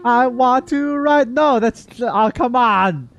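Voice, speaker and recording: A man's voice: very high voice, slow pace, fairly bright tone. A man doing casual playthrough reaction commentary, goofy and theatrical, rambling and slipping into sing-song. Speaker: gaming YouTuber. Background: faint game music.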